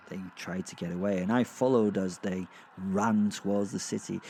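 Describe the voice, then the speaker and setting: A man playing a gleeful, foul-mouthed werewolf who narrates a dark comic horror audio drama in a rambling, conversational, sardonic way. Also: medium pitch, a little hiss or hum. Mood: gleeful menace. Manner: rambling, sardonic, sweary asides with sighs and chuckles. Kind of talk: first-person storytelling, conversational dark comedy.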